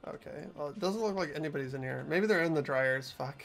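A man's voice: a little high, fast, creaky, fairly bright tone, soft background music. A man chatting casually with friends during a group game playthrough.

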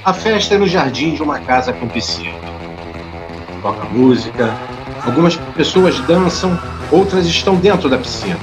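A man's voice: a little high, very animated, normal speed, music in the background. A man reading scripted scene narration for an audio drama.

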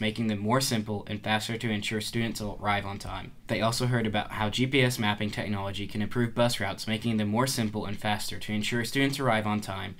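Teen student reporter, quick scripted delivery, lower voice. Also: male voice, fairly bright tone. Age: teens. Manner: brisk read-aloud delivery. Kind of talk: scripted news voice-over.

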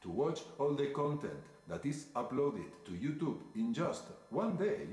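A man's voice: mid-range voice, sing-song, a little slow, darkish tone, soft background music. A man in his forties with a Spanish accent, a native Spanish speaker, explaining facts on a video. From Spain. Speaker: statistics specialist. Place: indoor room, played into lecture hall. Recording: echoey playback through room speakers.

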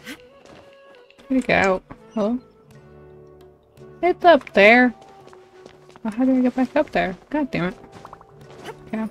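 A woman's voice: fairly high pitch, animated, slow pace, creaky, long pauses, highs cut off. A woman livestreamer giving casual, self-deprecating, grumbling commentary while she plays a game. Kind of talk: casual gaming stream commentary. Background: game music.

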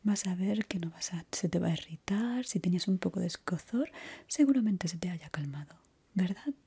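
A young woman from whose comes a soft, breathy, gentle whisper, playing a barber in an unhurried ASMR roleplay close to the mic. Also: fairly low pitch, animated. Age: young adult. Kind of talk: ASMR roleplay, personal attention. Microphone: close ASMR microphone.

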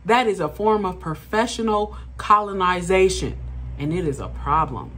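A woman in her thirties, a diversity consultant telling a workplace story to camera from her living room, lively and emphatic, talking straight to the viewers. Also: on the low side, normal speed. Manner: animated, addresses viewers as y'all, rising emphatic. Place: indoor living room at home. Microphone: webcam at arm's length.

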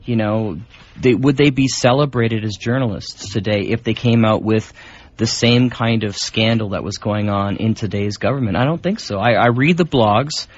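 A man's voice: lowish voice, normal speed. Chatty male radio host, muffled sound. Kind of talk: casual on-air banter. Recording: dull lo-fi radio recording.

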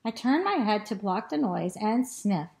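A woman voicing an excitable, greedy male dog in a children's storybook, with exclamations and drawn-out words. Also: mid-range voice, vocal fry, very noisy. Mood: greedy, frantic. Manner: exclamatory, drawn-out words and short repeated sniffing phrases. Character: excitable, greedy male dog narrating his food adventures. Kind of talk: expressive children's storybook reading.

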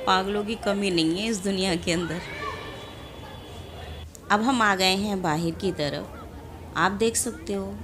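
A young woman walks and talks through a casual travel vlog, filming herself on a phone held at arm's length. She speaks straight to her viewers in a chatty, friendly way. The recording is open-air and noisy, with a steady wash of outdoor sound behind her. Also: medium pitch, takes it slowly, pauses often. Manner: chatty, addresses viewers directly. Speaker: vlogger. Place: outdoors in a large mosque courtyard. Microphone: phone camera held at arm's length.

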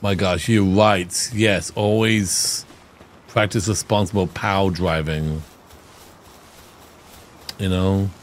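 A man's voice: deep voice, fairly even intonation, slow pace, long pauses, slight background noise. A man in his twenties hosting a game stream, chatting casually, laughing often and thanking viewers. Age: 20s. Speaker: streamer host. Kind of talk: casual gameplay chat.

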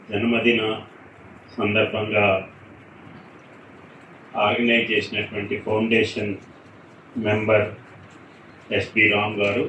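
A man's voice: on the low side, sharp tone. An older man from India delivering a formal ceremonial address at a podium. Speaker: speaker at a ceremony. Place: auditorium, indoors. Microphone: podium microphone, recorded from far camera. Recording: dull, echoey hall from far off, noisy.

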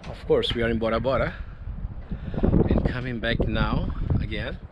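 A man's voice: medium pitch, dull audio, heavy background noise. A man with a Brazilian Portuguese accent, a non-native English speaker, showing his catamaran on a handheld camera walkthrough. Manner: slow, halting, proud, shows each item and lists features. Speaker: boat owner giving a tour. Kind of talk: walkthrough tour of a sailing catamaran. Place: on board a catamaran, on deck and in the cabin. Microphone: handheld action camera.

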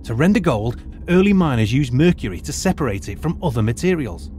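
A man's voice: mid-range voice, big pitch swings, brisk, a little mellow, soft background music, close to an everyday voice. A man playing a middle-aged retired archaeologist turned teacher who lays things out in a precise, lecturing way. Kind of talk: audio drama acting.

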